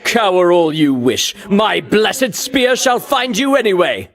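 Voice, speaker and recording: A vain pompous hero warrior for a video game, high voice swinging all over, grand old-timey talk. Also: male voice, sing-song, takes it slowly, heavily acted. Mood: scornful, boastful. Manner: grandiose, declamatory, archaic heroic diction. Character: pompous, vainglorious male champion warrior, bombastic and scornful. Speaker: voice actor. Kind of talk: theatrical video game battle lines.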